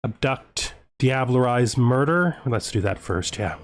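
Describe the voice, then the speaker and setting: A man giving casual video game commentary, thinking aloud as he deliberates his choices. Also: medium pitch, lively intonation. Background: music.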